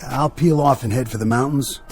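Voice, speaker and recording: A man's voice: mid-range voice, a little fast, darkish tone, soft background music, lightly acted. An actor playing a dutiful, suspicious junior spaceship crewman with an earnest military manner in a radio drama. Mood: earnest, steady.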